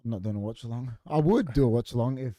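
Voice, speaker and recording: A man's voice: medium pitch, mellow, no echo, studio quality. An Australian man co-hosting a casual podcast, chatting loosely and slipping into impressions of other voices. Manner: chatty, quick to mimic voices and laugh. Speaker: podcast co-host. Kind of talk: casual banter. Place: studio.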